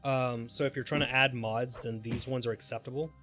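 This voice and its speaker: A man's voice: mid-range voice, steady tone, brisk, pushed and bright. A man chatting casually and informally on a live gaming stream, speculating in a rambling way. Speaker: game livestreamer. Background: background music.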